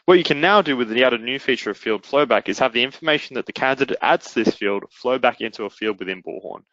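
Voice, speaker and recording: A man presenting a software product in a webinar, explaining it step by step in an instructional way. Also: mid-range voice, expressive, fast talker, no room sound, muffled recording. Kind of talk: explanatory webinar demo narration.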